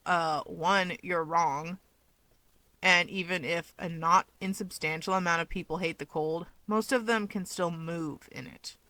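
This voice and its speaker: A woman voicing a smug, sardonic young man who likes to needle his rival, deadpan and dismissive, in a fan fiction audiobook. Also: fairly low pitch, unhurried, no room sound.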